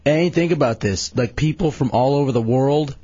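A man's voice: mid-range voice, normal speed, warm tone, husky, lo-fi sound. A man co-hosting a radio show with a sick, worn voice, joking and rambling in casual banter. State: sick, losing his voice.

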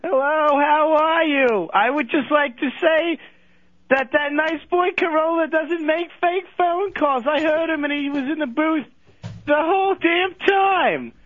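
A man's voice: very high voice, fairly bright tone, dry recording, lo-fi sound. A man on a radio show voicing a fawning, dotty old male phone-in caller who rambles and coos. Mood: doting. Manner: rambling, cooing and drawn-out.

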